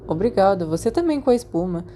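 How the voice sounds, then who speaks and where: Shy polite brazilian school boy, woman narrator doing him, understated, mellow, storybook. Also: medium pitch, very animated, quick pace, a little hiss or hum, lightly acted. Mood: helpful.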